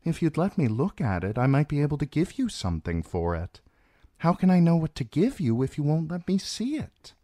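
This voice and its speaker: A man voicing a patient, deferential, practical medicine woman in a storybook, gentle and pleading. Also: medium pitch, big pitch swings, takes it slowly, no echo, studio quality, voice pushed up. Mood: pleading, reasoning.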